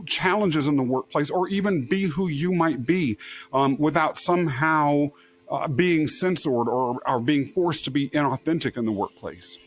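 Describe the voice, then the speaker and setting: A man hosting a podcast interview with a voice pitched a little high for a man. He talks expressively and at length, winding through his questions with restarts and asides. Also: moderate pace. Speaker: podcast host.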